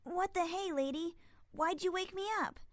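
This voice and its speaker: A woman's voice: extremely high pitch, moderate pace, no echo, studio quality. A woman voicing a scrappy young cartoon pony girl with a blunt, childlike way of talking, in a dramatic audio story. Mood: startled, annoyed. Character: scrappy young filly, small pony girl, defiant and vulnerable.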